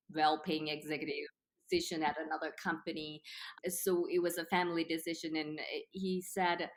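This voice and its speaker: A woman in her thirties from Sri Lanka, answering interview questions in a measured, thoughtful way with frequent "uh" pauses. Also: sits low, fairly bright tone. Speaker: company founder, guest.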